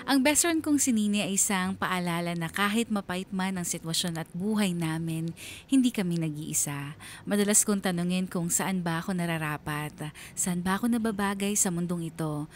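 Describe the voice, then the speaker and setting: Young woman telling her sad story acted, kinda low voice, measured radio drama narration, slight noise behind. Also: lightly acted. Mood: reflective, sorrowful. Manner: measured, reflective.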